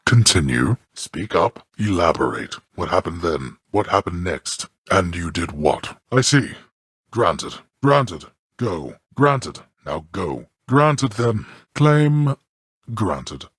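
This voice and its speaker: A male actor voicing a stern, scornful god of the underworld in a video game, with a husky voice and formal, disdainful, imperious delivery. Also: sits low, very animated, slow pace, a bit bright, no echo, clean studio sound, exaggerated voice. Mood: dismissive, curt. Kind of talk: theatrical video game character lines.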